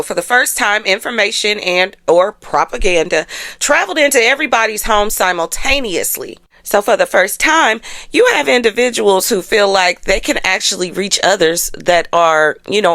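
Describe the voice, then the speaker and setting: A woman's voice: fairly low pitch, gravelly, a bit bright, dry recording. An American woman hosting a channel, chatting casually and directly to her followers with a sassy, rhetorical manner. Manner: sassy, direct address with rhetorical questions and tag phrases like "okay?". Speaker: astrology channel host. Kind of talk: casual, conversational commentary to followers.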